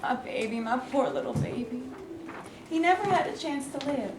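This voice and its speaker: A woman's voice: sits high, animated, moderate pace. A woman reading the part of a mournful, plaintive farm wife and mother in an amateur stage play. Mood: grieving. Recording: echoey room, distant, clear background noise.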